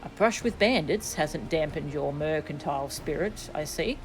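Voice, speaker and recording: A woman reads as a resolute young nobleman in a fantasy game. The delivery is measured and formal, with a commanding edge, over soft game music. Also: sits low, lively intonation, low-quality recording.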